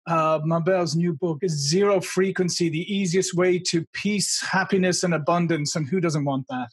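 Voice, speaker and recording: A middle-aged man hosting a video call interview, speaking briskly and upbeat. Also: fairly high pitch, slightly rough. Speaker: interview host. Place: studio with branded backdrop. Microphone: studio mic seen in front.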